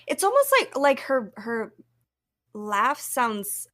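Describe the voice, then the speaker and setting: A woman's voice: a little high, very animated, slow, fairly bright tone. A woman co-hosting a podcast in casual, informal fan chat. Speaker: podcast co-host.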